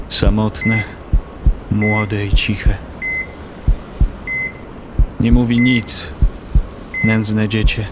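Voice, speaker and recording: A man giving a theatrical, deliberate recitation of poetry in an audio play. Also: on the low side. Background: loud music.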